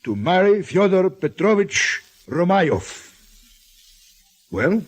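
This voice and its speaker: An actor playing a doting, ponderous Russian father and general in an old-time radio drama, speaking haltingly. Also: high voice, sing-song, full character voice. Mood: urgent, solemn. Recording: noisy vintage radio recording with clicks.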